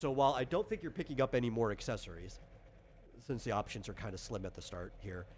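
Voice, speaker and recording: A man's voice: medium pitch, very animated, quick pace, husky. A man acting as game master, casually explaining tabletop rules in a hesitant, thinking-aloud way.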